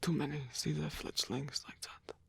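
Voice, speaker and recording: Casting: male actor as ancient vampire, husky close whisper, wistful and flirtatious, halting delivery, intimate ASMR. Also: on the high side, fairly even intonation, fairly bright tone, full character voice. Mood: earnest, reflective. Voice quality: breathy, whispery. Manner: halting, murmured, pensive.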